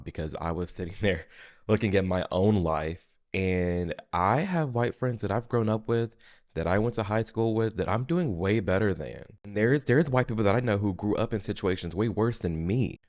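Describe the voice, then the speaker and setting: A young American man with a low, deep voice talks casually to his audience as a host. He delivers reaction commentary in a conversational, off-the-cuff style. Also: normal speed, darkish tone. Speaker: reaction video host, influencer.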